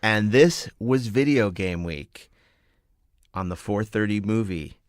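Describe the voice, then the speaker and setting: A man's voice: fairly low pitch, takes it slowly, no room sound, clean studio sound. A man hosting and moderating a casual podcast panel conversation.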